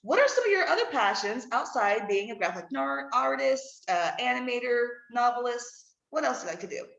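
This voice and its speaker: A woman's voice: fairly high pitch, very animated, fairly quick, gravelly, fairly bright tone. A woman hosting a conversational interview podcast, readily agreeing with and echoing what her guest says. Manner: affirming, echoes and agrees with guest. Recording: dull audio in echoey room.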